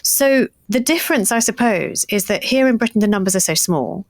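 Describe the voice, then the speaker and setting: A woman co-hosting a history podcast, talking in a lively, animated way with frequent asides. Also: medium pitch, a little fast, dry recording. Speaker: podcast co-host. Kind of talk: casual history podcast chat.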